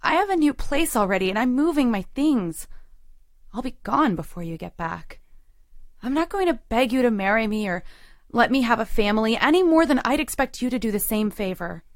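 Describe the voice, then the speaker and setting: A woman's voice: on the high side, lively intonation, normal speed, no room sound, clean studio sound. A woman playing a hurt, resolute girlfriend in an audio drama, with an intimate, controlled and emotionally weighted delivery. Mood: firm, heated. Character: hurt, resolute girlfriend leaving her long-term boyfriend. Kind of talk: audio drama acting with first-person narration.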